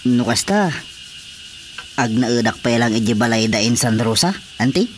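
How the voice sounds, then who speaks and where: An actor playing an eager, hesitant young brother-in-law in an Ilocano radio comedy drama, with an Ilocano accent. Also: male voice, medium pitch, animated, moderate pace, natural voice. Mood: eager, deferential. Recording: faint background noise with crickets.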